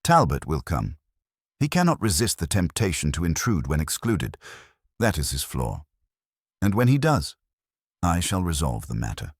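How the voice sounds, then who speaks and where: A man's voice: deep voice, wildly swooping pitch, normal speed, long pauses, dry recording, studio quality, exaggerated voice. A man voicing an imperious, coolly logical detective in an audiobook, with pompous, precise, formal speech. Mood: commanding.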